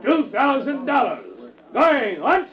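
An actor playing a formal, insistent auctioneer in a 1950s radio drama, calling out bids in a measured, projecting way. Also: male voice, extremely high pitch, big pitch swings, full character voice. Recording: dull distant 1950s radio recording.

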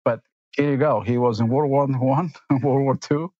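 A man's voice: mid-range voice, fairly even intonation, normal speed, dry recording, highs cut off. A man in his fifties, from Argentina and Italy, giving reflective interview answers as a company executive, with frequent fillers and tag questions.

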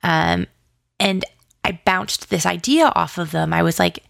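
A woman's voice: fairly low pitch, a little slow, creaky, a bit bright, dry recording, studio quality. A woman speaking casually as an interview guest, in informal, hesitant phrasing peppered with "like". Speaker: guest, audio drama co-creator and writer.

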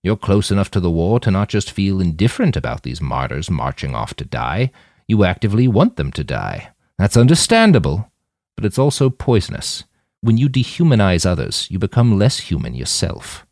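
A man acts out a weary old man in an audiobook, lecturing with grave conviction. The voice is low and creaky, rising and falling emphatically as he makes each deliberate point. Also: wildly swooping pitch, a little mellow, dry recording, studio quality, full character voice. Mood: grave, reproachful.

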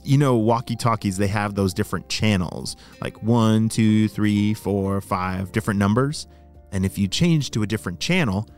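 A man's voice: fairly low pitch, a little mellow. A man hosting a children's story podcast, narrating and explaining things simply to young listeners.

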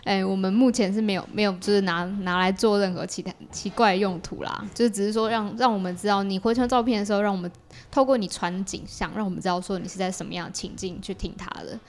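A woman's voice: mid-range voice, steady tone, fast talker. A woman with a Taiwanese Mandarin accent, a podcast guest explaining her creative work in a casual conversational way. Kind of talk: casual explanatory interview talk.

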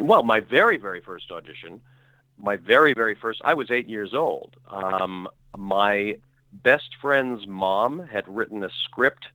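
Bright-toned middle-aged man telling stories. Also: fairly low pitch, no echo, rough recording. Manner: rambling, self-correcting, with frequent fillers. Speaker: actor guest. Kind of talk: relaxed conversational storytelling.

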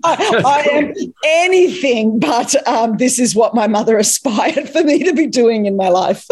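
Animated Australian woman in her fifties. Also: on the high side, measured pace, breathy edge. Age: 50s. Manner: animated, laughs often, restarts and fillers. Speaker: guest. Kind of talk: interview telling her life story.

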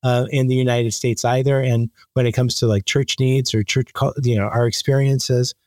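A man being interviewed, speaking conversationally and haltingly as he thinks aloud with repeated false starts. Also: mid-range voice, little pitch movement, a little mellow, no room sound, studio quality. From born in the Dominican Republic. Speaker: interview guest, magazine editor.

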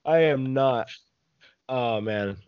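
A man's voice: mid-range voice, takes it slowly, smooth, no echo, lo-fi sound. A man in his early twenties with an American accent hosting a casual podcast in a playful, slangy way. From Arizona, United States. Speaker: podcast host.

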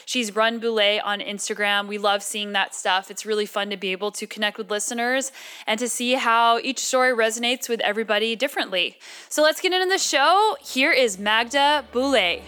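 A woman podcast host with a bright, crisp voice. She speaks in an informal, chatty register, moving between show introductions and conversational interviewing. Her pitch sits a little high. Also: normal speed.

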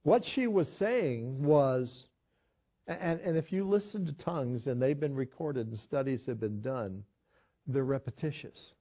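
A man's voice: mid-range voice, unhurried, dark tone. An older man, a pastor, teaching a Bible study in a conversational sermon style, telling a story earnestly with frequent restarts.

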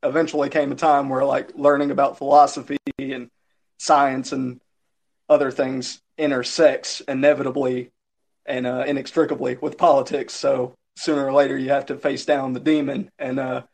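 A man with a Southern American accent, a podcast guest who talks in a thoughtful, well-spoken way with some halting restarts. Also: mid-range voice, flat delivery, gravelly. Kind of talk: casual conversational interview.

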